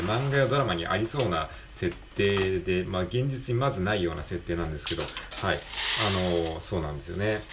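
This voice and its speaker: A man's voice: sits low, background noise. A man hosting a solo show with an improvised casual monologue, rambling and self-deprecating as he digresses. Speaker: solo audio show host.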